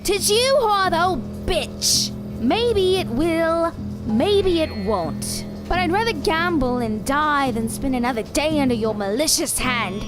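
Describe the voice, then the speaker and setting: A woman's voice: sits high, animated, unhurried. A woman playing a scheming, commanding living doll in an audio drama, sweetly menacing with formal, theatrical phrasing. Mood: defiant. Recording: music under the voice.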